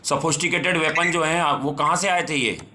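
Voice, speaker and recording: A male news interviewer questions someone on a call in a bright, pressing voice pitched slightly high. He is halting and insistent, often repeating himself as he frames a question. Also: steady tone.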